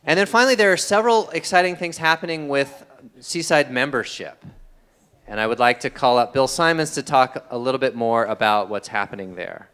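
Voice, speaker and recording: A man reads the announcements at a church service in a friendly way, slipping in small jokes. His voice is smooth and clear at a middle pitch. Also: fairly quick, fairly bright tone. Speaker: announcements host at a spiritual center.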